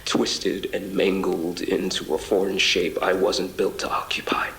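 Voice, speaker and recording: A man acting in an audio drama as a shaken, apologetic survivor, haltingly recounting an otherworldly ordeal in a hushed, reflective monologue. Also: low-pitched, little pitch movement, gravelly, whispered, exaggerated voice. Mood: pained, struggling. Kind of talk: intimate first-person audio drama monologue. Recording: roomy echo with music bed. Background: music.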